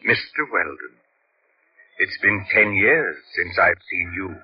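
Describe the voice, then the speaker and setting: An actor playing an eerily calm, sinister madman believed dead, slow and ominously polite, in 1940s radio mystery style on an old recording. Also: low-pitched, lo-fi sound, slight background noise, full character voice. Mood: calm menace.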